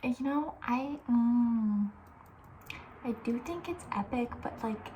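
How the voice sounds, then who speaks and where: A woman speaking softly with breathy, near-whispered edges, chatting casually and rambling with playful opinions as an ASMR host. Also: fairly high pitch, takes it slowly, slight background noise, poor audio. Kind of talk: soft-spoken ASMR casual chat.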